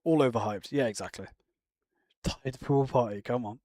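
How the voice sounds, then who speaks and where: A man's voice: mid-range voice, normal speed, a little mellow, no room sound. Rambling man, dry close sound. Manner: rambling, answers viewer comments, frequent restarts. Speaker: live streamer reacting to chat. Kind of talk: casual chat.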